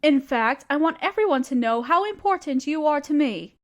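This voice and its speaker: A woman's voice: sits high, expressive, normal speed. A woman voicing a doting spider queen. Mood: tender. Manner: soft, patient, doting and reassuring. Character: gentle motherly female spider queen, protective. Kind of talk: audio roleplay acting.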